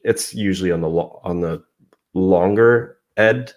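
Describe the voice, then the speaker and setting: A man's voice: deep voice, a little slow. A man from San Francisco, a digital marketer, explaining his work as a guest in a casual video-call interview. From San Francisco, United States. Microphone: podcast-style microphone.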